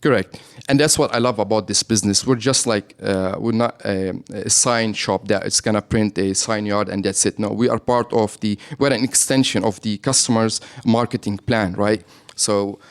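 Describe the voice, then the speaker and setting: Lebanese-accented man, low voice. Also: fairly even intonation, moderate pace, few pauses. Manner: explains with frequent "right?" tags. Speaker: business owner guest. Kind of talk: radio interview.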